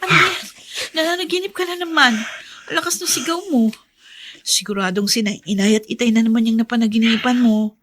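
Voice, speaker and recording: A Filipino woman acting a worried, gently scolding companion in a radio drama. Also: fairly high pitch, a little fast, slight background noise, natural voice. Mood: alarmed, concerned. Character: concerned, gently chiding woman comforting a man.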